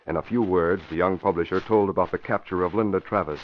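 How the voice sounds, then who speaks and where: A man's voice: sits low, steady tone, fast talker, a little hiss or hum. A man announcing in 1940s radio style, with emphatic, polished scripted delivery. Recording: muffled vintage radio broadcast.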